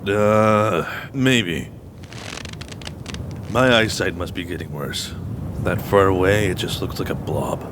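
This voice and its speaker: A man playing a gruff older gunslinger in an audio drama, speaking in a laconic way. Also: slow pace, long pauses. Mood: wary. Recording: rumbling engine-like noise behind voice.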